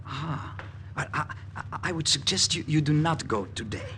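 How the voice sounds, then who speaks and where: An actor playing a nervous, officious Nazi checkpoint guard with a German accent and a hesitant stammer, in 1940s radio style. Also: male voice, a little high, animated, normal speed, bright, forceful tone. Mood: flustered. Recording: faintly noisy vintage radio recording.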